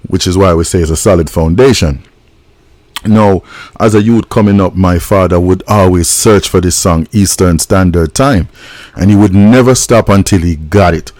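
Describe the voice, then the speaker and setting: Deep new guy, laid-back music reaction host, dry close sound. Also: a little mellow, dry recording. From Jamaica. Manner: laid-back, reflective, drawn-out emphasis. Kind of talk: casual reaction and storytelling.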